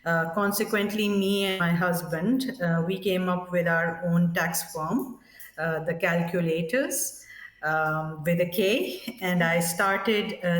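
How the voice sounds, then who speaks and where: A woman's voice: fairly low pitch, recorded from a distance. Woman from Pakistan, hesitant, roomy. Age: 30s. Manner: hesitant, many fillers and restarts. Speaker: guest. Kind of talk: podcast interview.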